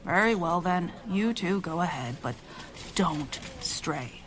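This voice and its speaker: An actress playing a stern, reluctant head nurse in a radio drama, formal and scolding, with an air of authority. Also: female voice, on the low side, expressive, slow pace, slight background noise.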